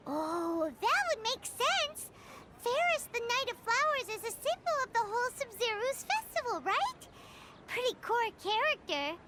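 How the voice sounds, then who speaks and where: A woman's voice: very high voice, very animated, measured pace, very noisy, heavily acted. A woman voicing a tiny, bossy and curious fairy companion girl in a video game, cutesy and emphatic. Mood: curious, musing. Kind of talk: video game character dialogue. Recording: loud background sound behind voice.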